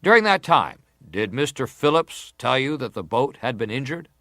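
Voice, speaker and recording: An actor playing an American senator who questions a witness formally, measured and insistent, in a dramatized hearing. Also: male voice, medium pitch, big pitch swings, sharp tone, no room sound, clean studio sound.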